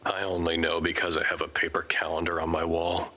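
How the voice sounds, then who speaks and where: An actor playing a weary, introspective survivor, delivering a measured, literary monologue in an audio drama. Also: male voice, sits low, monotone, pushed and bright. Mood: wry, subdued. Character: weary, reflective male survivor recording his thoughts. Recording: music under the voice. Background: music.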